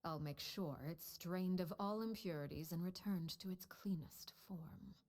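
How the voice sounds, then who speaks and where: A composed, calculating matriarch, played by a woman in a game, with a slightly low voice. She speaks in smooth, measured phrases that hide quiet threats. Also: slight background noise, lightly acted. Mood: cool.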